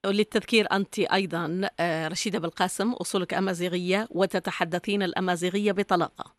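Fast-talking woman interview host. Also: fairly low pitch, steady tone, no echo. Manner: fast, fluent, admiring questions. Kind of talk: interviewing a guest.

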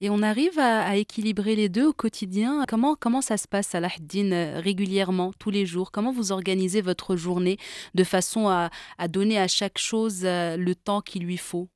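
A woman's voice: mid-range voice, moderate pace, darkish tone, no echo. Dry-voiced woman hosting an interview. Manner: friendly, brief affirming interjections. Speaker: interview host.

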